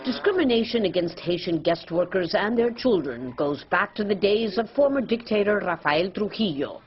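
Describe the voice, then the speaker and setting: A female news correspondent narrating a scripted report in a measured, expressive way. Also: mid-range voice, dull audio, crowd noise.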